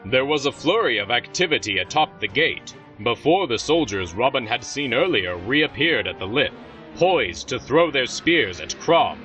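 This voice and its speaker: A man narrates a scripted fantasy story as the narrator of an audio drama. His delivery is lively and forceful, captured a touch dully over soft music. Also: mid-range voice, moderate pace. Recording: slightly dull, music underneath.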